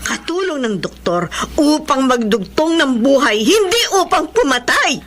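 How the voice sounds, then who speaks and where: A woman's voice: high-pitched, big pitch swings, unhurried, fairly bright tone, a little hiss or hum, full character voice. An actress playing a protective, God-fearing middle-aged mother who admonishes with stern emotion, in Filipino radio drama style. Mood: pleading, stern.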